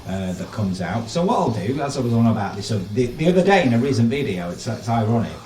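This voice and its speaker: A man delivers informal opinion commentary in a sardonic, disbelieving manner, leaning on rhetorical questions. His medium-pitched voice sounds distant from the microphone in an echoey room, with music present. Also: sing-song, fast, darkish tone, slight background noise. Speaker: vlogger giving commentary on news. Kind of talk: casual opinion commentary, reading an article aloud.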